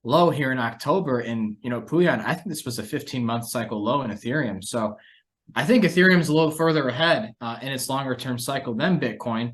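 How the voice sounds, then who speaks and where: A man's voice: mid-range voice, animated, a little fast. A man hosting a conversational discussion about financial markets, speaking as an analyst. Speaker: podcast host and market analyst.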